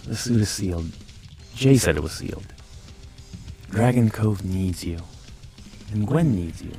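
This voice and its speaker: Nervous teen guardian, lowish and deliberate, sincere, music behind. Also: male voice, expressive, warm tone. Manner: nervous self-coaxing, earnest. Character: anxious earnest teenage male hero. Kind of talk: superhero audio drama acting.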